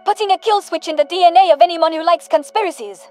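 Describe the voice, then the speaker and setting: Casting: actress as mocking female crewmate in a sci-fi audio drama, very high pitch, exasperated, soft music. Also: quick pace. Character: sarcastic, exasperated human woman.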